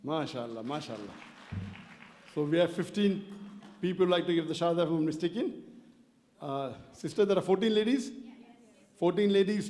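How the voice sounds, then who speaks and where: High-voiced male lecturer, polite and measured. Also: darkish tone. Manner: polite, measured, leads the crowd in call-and-response. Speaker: Islamic lecturer. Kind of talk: public religious lecture. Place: lecture hall. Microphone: stage microphone.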